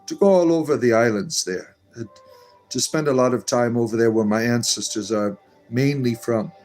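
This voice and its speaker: A man's voice: medium pitch, normal speed, darkish tone, soft background music. A man hosting a live stream, reading viewer comments aloud and answering them in a meandering, chatty way. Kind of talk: casual live-stream chat.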